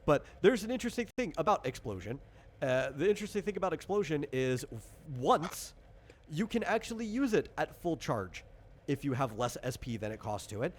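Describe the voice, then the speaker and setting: A man's voice: a little high, very animated, fairly quick. Casual game master guy, noisy recording. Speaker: game master explaining rules. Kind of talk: casual rules explanation during a tabletop RPG session.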